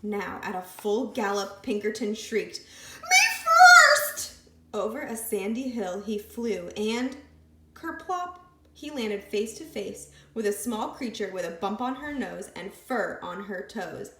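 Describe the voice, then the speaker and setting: A woman reading a picture book aloud with expressive, lively storytelling. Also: medium pitch, unhurried. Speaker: storybook reader. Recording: echoey room.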